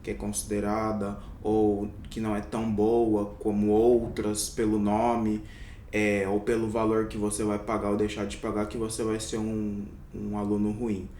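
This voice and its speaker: A man's voice: completely flat delivery, normal speed, mellow, far from the mic. Man talking thoughtfully in an echoey room. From Brazil. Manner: reflective, many hesitations and fillers. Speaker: interview guest, doctor. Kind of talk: casual interview. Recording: echoey room, somewhat off-mic, faint rumble.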